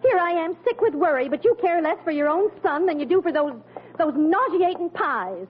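A woman's voice: very high-pitched, expressive, moderate pace. An actress playing a worried, scolding, exasperated wife and mother in an old-time radio comedy. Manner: nagging, exasperated. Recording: tinny, hissy old radio broadcast.

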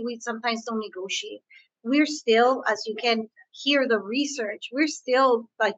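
A woman's voice: fairly high pitch, sharp tone, no room sound, muffled recording. A woman with a Spanish accent, raised on the US-Mexico border, answering interview questions about negotiation in an earnest way and often repeating words as she thinks. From US-Mexico border region. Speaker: guest, negotiation coach.

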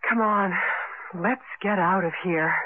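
A woman's voice: medium pitch, slow pace, close to an everyday voice. An actress playing an anxious, impatient young married woman in a radio drama, fretful and emotional. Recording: noisy, tinny vintage radio broadcast.

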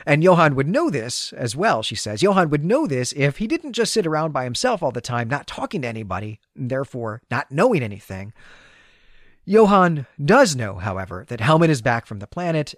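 A man's voice: medium pitch, big pitch swings, a little fast, dry recording. A man with a mid-range voice hosts a discussion podcast. He explains things analytically in a conversational recap style. The sound is dry, with very little room in it. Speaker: podcast host.

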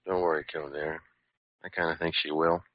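An actor playing a laconic, tough but soft-hearted American police lieutenant in an old-time radio drama. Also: male voice, level tone, normal speed, dry recording. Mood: quiet, reassuring. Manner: laconic, low-key. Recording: dull, noisy vintage radio recording.

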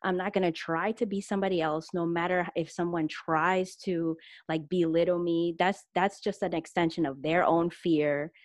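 A woman's voice: lowish voice, steady tone, moderate pace, few pauses, no echo, clean studio sound. A young woman talking casually and reflectively as a guest, leaning on fillers like "you know" and "like". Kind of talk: casual conversation.

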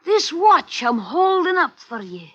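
A high-pitched, wheedling little sneak thief. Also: male voice, very animated, a little slow, fairly bright tone, muffled recording, heavily acted. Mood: fawning, wheedling. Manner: cajoling, pleading, repeatedly saying "mate". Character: small, wheedling, sly male pickpocket and drunk. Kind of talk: 1950s radio drama acting.

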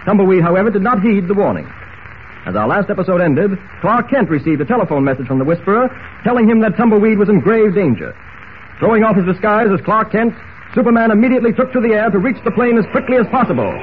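A thin, hissy vintage radio recording of a male announcer for a superhero serial. He speaks in a fairly high voice with dramatic emphasis, in classic 1940s radio style. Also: expressive, fast, phone-quality sound. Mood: dramatic, urgent. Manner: dramatic, emphatic recap delivery.

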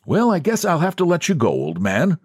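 Man doing condescending bank president, patronizing, easy false sympathy, raised pitch, literary audiobook character. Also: huge pitch swings, a little fast, a little mellow, slightly rough, no room sound, clean studio sound, full character voice. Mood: mock sympathetic.

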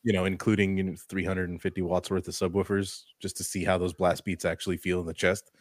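A man's voice: sits low, level tone, fast talker, no echo. Crude, laughing male co-host. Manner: loud, laughing, crude joking. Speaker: podcast co-host. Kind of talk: casual streamed chat.

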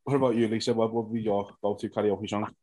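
A man's voice: on the low side, steady tone, quick pace, a little mellow. A man co-hosting a casual chat over a video call, rambling with many fillers. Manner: rambling, chatty, hesitant fillers. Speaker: co-host.

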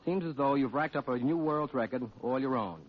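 A man makes a formal, scripted presentation as an editor giving an award. His voice is medium in pitch, heard on a muffled 1940s radio broadcast. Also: fairly quick.

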